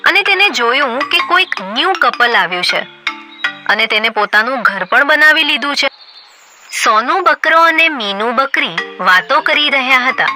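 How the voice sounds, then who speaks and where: A woman with a high voice narrates a children's cartoon story in a clear, scripted way. Steady music plays underneath her narration throughout. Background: background music.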